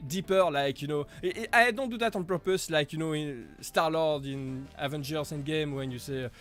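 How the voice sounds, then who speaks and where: A man with a high voice for a man hosts a relaxed live stream Q&A, answering viewers one by one. He talks in a halting, chatty way, scattering small laughs and filler phrases as he goes. His voice sits deeper than his usual while he gets over an illness, with faint music in the background. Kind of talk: casual live Q&A chat.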